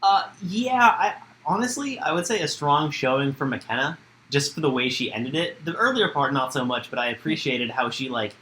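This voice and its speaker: A man co-hosting a casual recap podcast from home, hoarse from a cold, with dry understated remarks. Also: mid-range voice, lively intonation, normal speed, pushed and bright, a little hiss or hum, low-quality recording. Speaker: podcast co-host. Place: home bedroom.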